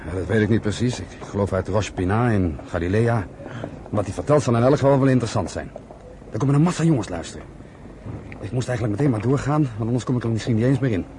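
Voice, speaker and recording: An actor in a radio play voicing a lively, rebellious young brother, urgent and persuasive. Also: male voice, lowish voice, quick pace, natural voice. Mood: eager, coaxing. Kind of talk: radio drama acting. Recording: slightly noisy old radio recording.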